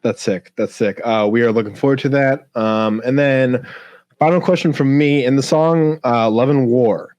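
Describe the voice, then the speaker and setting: A man's voice: medium pitch, moderate pace, a little mellow, no echo. A man hosting a podcast, chatting casually in a blunt, slangy and supportive way. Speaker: podcast host.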